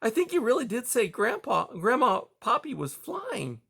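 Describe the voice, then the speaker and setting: A man's voice: very high-pitched, huge pitch swings, normal speed, vocal fry, slightly rough, pitched above the performer's own voice, exaggerated voice. A man voicing a young male mouse, a curious boy who whispers puzzled questions. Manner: hushed and puzzled.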